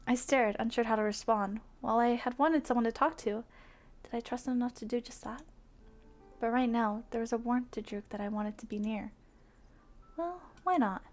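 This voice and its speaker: Giddy high-voiced woman streamer. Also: moderate pace. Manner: giddy, excitable, gushing. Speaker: livestreamer playing visual novels. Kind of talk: casual reacting and expressive read-aloud narration. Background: game music.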